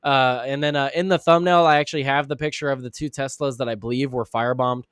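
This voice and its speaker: A man hosting a live commentary show who sounds raspy and under the weather, rambling with frequent restarts and fillers. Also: fairly even intonation, quick pace, a bit bright, no room sound, studio quality. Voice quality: raspy, deeper than usual. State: sick, clearing throat and coughing. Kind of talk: casual live-stream commentary.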